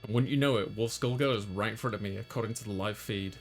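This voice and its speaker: A man's voice: on the low side, quick pace, soft background music. A man hosting a gaming video, reading visual novel text aloud and adding casual asides.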